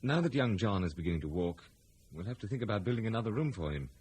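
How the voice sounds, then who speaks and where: An actor playing a tender, stoic young nobleman husband, gentle and well-spoken, in an old-time radio drama. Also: fairly low pitch, big pitch swings, fast, husky, full character voice. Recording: noisy old radio serial recording.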